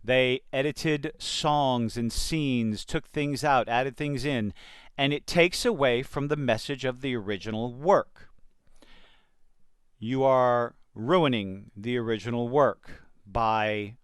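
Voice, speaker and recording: A man's voice: medium pitch, takes it slowly, clear, resonant tone, no room sound, studio quality. A man giving opinionated commentary in a blunt, sardonic and emphatic manner. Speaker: commentator reacting to entertainment news. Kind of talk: opinionated commentary with quotes read out.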